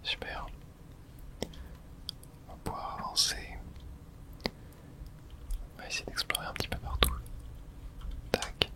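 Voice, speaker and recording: A person whispering informal ASMR commentary while playing a video game, muttering reactions with some mild swearing in a breathy, unvoiced voice. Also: slow pace, pauses often, pushed and bright, low-quality recording. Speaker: gamer streaming playthrough for viewers.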